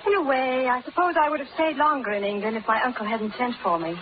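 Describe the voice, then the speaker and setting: An actress playing a gracious, lightly teasing young gentlewoman in polite 1930s radio style. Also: high-pitched, quick pace, close to an everyday voice. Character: genteel, gracious, playful young lady. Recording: scratchy, tinny 1930s radio broadcast.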